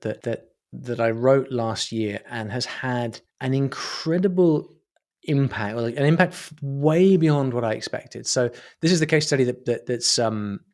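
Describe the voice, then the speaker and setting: A man's voice: medium pitch, a little mellow. A man speaking casually as a podcast guest, thoughtful and wordy, circling back as he explains things. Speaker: podcast guest, online business owner. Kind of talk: casual interview conversation.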